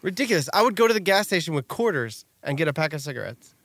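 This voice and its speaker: A man in his thirties co-hosting a podcast in casual chat, animated and quick to laugh. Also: on the high side, no echo. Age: 30s. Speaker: podcast co-host.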